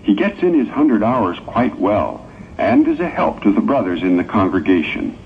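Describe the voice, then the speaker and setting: A man narrating a scripted morality play in a measured, explanatory manner. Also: fairly low pitch, sing-song. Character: male stage narrator of a congregation morality play. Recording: old tinny narrow-band tape with hiss.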